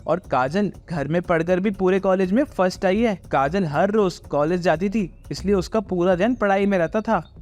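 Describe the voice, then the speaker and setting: A man's voice: high-pitched, moderate pace, a little mellow, close to an everyday voice. A man playing a protective, fair-minded father who speaks earnestly and firmly in a moral story. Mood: reproachful. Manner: earnest, firm.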